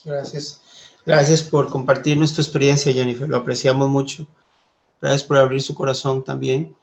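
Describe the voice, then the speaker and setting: A man from Costa Rica leading a church Bible study, speaking gently and thoughtfully, with hesitant fillers. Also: mid-range voice, flat delivery, normal speed, gravelly. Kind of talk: Bible study teaching and reading scripture.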